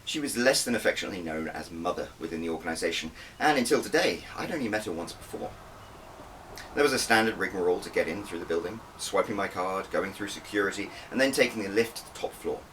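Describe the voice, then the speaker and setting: Male author-narrator: deep, expressive first-person storytelling, recorded slightly off the mic. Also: quick pace. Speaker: author reading own audiobook. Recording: distant mic with faint background noise.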